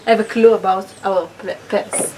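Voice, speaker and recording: A woman's voice: mid-range voice, fairly even intonation, a little mellow. Woman from israel practicing a speech, stops and restarts a lot, mic kinda far off, room echo and some background hum. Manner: halting, with restarts and non-native grammar slips. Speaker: public speaking student. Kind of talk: rehearsing a personal speech. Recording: roomy, distant mic, background ambience.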